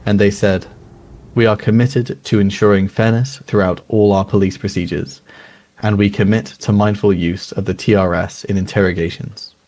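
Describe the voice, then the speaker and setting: Man playing sober news reader, low pitch, very even intonation, measured formal documentary narration. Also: natural voice. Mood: grave, formal. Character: sober male news reader of a crime report.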